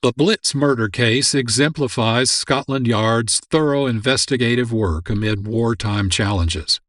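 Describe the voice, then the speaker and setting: A man's voice: medium pitch, unhurried, a bit bright, no echo, clean studio sound. A man hosting a radio program with scripted narration. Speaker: producer and host.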